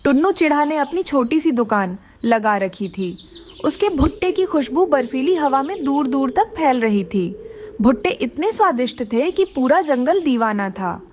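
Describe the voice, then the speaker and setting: A woman's voice: high-pitched, animated, moderate pace, a little mellow, slight background noise. A woman giving scripted narration for a children's cartoon story.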